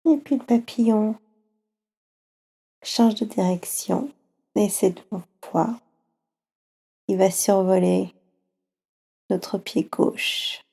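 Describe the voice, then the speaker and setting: A woman with a French accent guiding children through a slow yoga nidra relaxation, speaking softly and soothingly with long pauses. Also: medium pitch, steady tone, gravelly, slightly rough.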